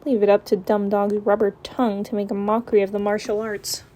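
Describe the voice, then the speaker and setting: A woman's voice: medium pitch, flat delivery, brisk, dark tone, natural voice. A woman voicing a smug, lazy, sarcastic male cat from a comic, with a dry delivery.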